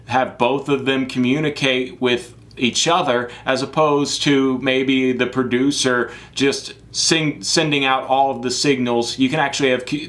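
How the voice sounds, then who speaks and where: A man with a mid-pitched voice presents a product review to camera. He is chatty and informal, leaning on certain words for emphasis and dropping in casual asides as he explains the equipment. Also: fairly bright tone. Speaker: product reviewer, live sports production crew. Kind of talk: casual semi-scripted gear review to camera.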